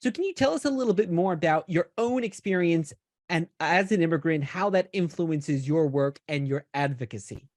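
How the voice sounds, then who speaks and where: A woman interviewing a guest, asking friendly, measured questions. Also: lowish voice, expressive, a little mellow, no echo, studio quality.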